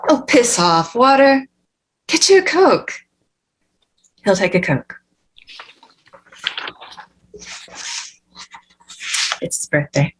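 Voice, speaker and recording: A woman acting a brash, foul-mouthed but doting mother in a dramatic table read, with a husky voice. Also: fairly high pitch, sing-song, a little slow, a little hiss or hum, low-quality recording.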